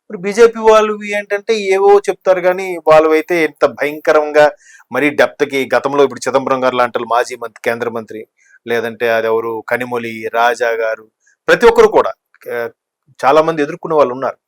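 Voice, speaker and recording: A man's voice: mid-range voice, brisk. A man giving conversational political commentary, talkative and explanatory, mixing in English words. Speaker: news discussion commentator.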